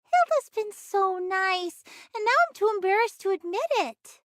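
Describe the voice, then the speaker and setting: Squeaky, excitable little anime girl. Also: moderate pace, no room sound, clean studio sound. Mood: confiding. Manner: eager, boastful, childlike. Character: excitable, chatty young girl with a secret. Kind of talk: anime game voice acting.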